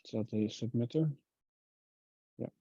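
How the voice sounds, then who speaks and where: A man's voice: medium pitch, a little slow, gravelly, mellow, husky, dry recording. A man talking casually through a technical problem on an online call, muttering as he thinks aloud. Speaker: software engineer in a working group call. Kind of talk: casual technical troubleshooting talk. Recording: dull, lo-fi call audio.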